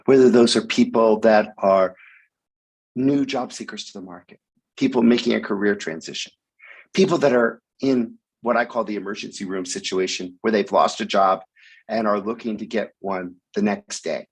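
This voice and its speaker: A man speaking hoarsely with a raspy voice while sick with the flu, giving a halting sponsor talk over a video call with many ums. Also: fairly low pitch, low-quality recording. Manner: halting, frequent ums, occasional cough. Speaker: sponsor speaker at hackathon opening. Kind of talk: online event speech.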